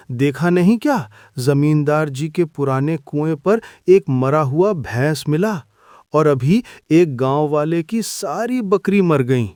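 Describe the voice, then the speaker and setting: An actor playing a grieving village goatherd in a cartoon story, wailing in slow laments, recorded dry and close. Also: male voice, a little high, a little mellow, dry recording.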